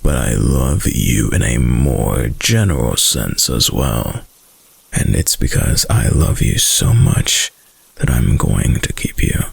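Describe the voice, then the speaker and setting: Man voicing a very deep, gravelly possessive wolf-boy lover. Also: a little mellow, no echo, full character voice. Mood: blissful, affectionate, then possessive, menacing. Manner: slow, murmured, cooing and possessive. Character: possessive, doting young male wolf-boy lover, dominant. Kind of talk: intimate ASMR boyfriend roleplay. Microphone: close, dry microphone.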